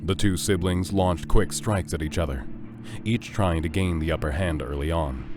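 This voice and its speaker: A man's voice: low-pitched, moderate pace, background noise. A man narrating a scripted fantasy story as the narrator of an audio drama. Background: loud music.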